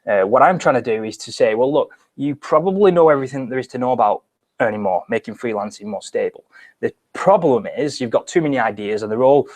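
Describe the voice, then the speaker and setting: A man's voice: medium pitch, brisk. A young British man hosting a live webinar from a small office, speaking energetically with frequent restarts. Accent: British English. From Britain. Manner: energetic, talks with his hands, frequent restarts. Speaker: webinar host. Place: small bright office, indoors.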